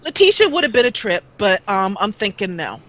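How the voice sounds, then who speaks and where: A chatty woman in her forties talks about her gaming channel as an interview guest. Her voice is bright and clear. She rambles, restarts, and fills gaps with ums, and her mic is old and taped up. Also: medium pitch, big pitch swings, brisk, dry recording. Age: 40s. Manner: rambling, chatty, with many fillers. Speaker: guest let's player. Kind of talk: casual interview chat. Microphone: old duct-taped mic that cuts out.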